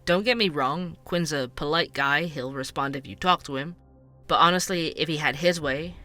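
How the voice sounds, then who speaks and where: A man's voice: on the high side, pushed and bright. An actor playing a curious, chatty male podcast host, conversational and wry in an explanatory way, in a scripted audio drama. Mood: wry, candid. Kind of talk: scripted fiction podcast narration and interviews.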